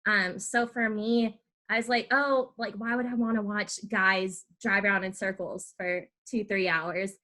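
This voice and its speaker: A woman's voice: mid-range voice, fairly even intonation, measured pace, bright, forceful tone. A woman hosting a podcast, asking rambling, chatty questions with fillers. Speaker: podcast host. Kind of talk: casual podcast interview.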